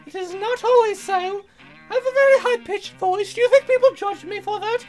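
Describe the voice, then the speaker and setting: A man voicing a courteous foreign naval officer in a very high falsetto with a put-on accent and formal, polite phrasing. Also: fairly quick, soft background music, poor audio. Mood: courtly.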